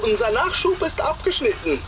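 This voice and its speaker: An actress playing a military subordinate giving a clipped, official report in a war video game, in a noisy, distant recording. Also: female voice, fairly high pitch, very animated, brisk. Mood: grave, reporting. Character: German military subordinate reporting bad news. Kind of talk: scripted game dialogue.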